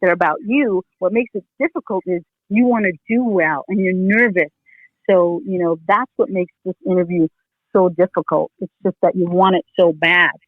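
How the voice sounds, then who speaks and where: A woman's voice: on the low side, normal speed, no room sound. A middle-aged woman, a retired police officer, giving casual interview advice in a rambling way, full of "you know" fillers and restarts. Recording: tinny phone line.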